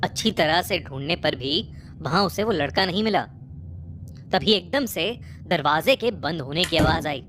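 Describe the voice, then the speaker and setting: A woman narrating a scripted horror story in a measured, suspenseful way, phrase by phrase. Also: low voice, expressive, a bit bright, slight background noise. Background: prominent music bed.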